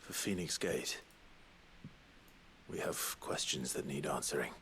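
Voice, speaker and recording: An actor playing a steady, resolute male hero in a video game, speaking in short, terse lines. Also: extremely low pitch, measured pace, slight background noise. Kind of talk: game dialogue.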